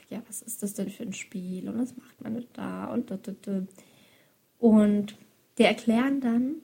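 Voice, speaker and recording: A woman's voice: medium pitch, creaky, mellow, breathy edge. A woman who works as a youth educator, reflecting on her work in a loose, thoughtful monologue with frequent hesitations. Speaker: youth educator and book author.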